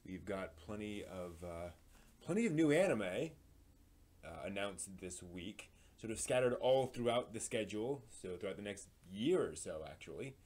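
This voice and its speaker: A man hosting a live stream, reading entertainment news in an informal, somewhat halting way with casual asides. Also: fairly low pitch, animated, a little mellow. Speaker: live stream host presenting anime news.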